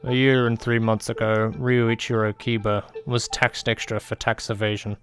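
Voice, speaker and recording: A man voicing a cynical male information dealer, calm and cutting, in audiobook dialogue. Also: fairly low pitch, normal speed, soft background music, close to an everyday voice.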